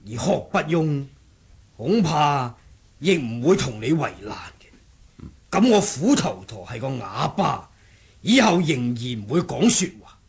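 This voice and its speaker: Husky, cunning warrior monk. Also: male voice, mid-range voice, sing-song, exaggerated voice. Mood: calm scheming. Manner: deliberate, sly bargaining. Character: cunning martial monk who feigned muteness, scheming and composed. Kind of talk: Cantonese 1980s radio drama acting.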